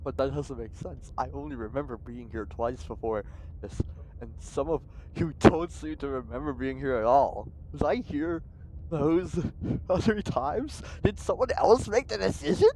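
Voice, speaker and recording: A man streaming a game live, casually thinking out loud, laughing and swearing as he plays. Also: mid-range voice, moderate pace, a little hiss or hum, low-quality recording. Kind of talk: casual livestream commentary.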